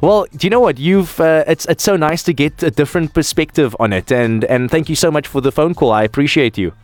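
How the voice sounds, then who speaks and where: A man's voice: a little high, a little fast, no room sound. A man hosting a morning radio show, chatty and friendly with callers in a studio. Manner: friendly, chatty, lots of fillers. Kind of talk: upbeat radio call-in banter.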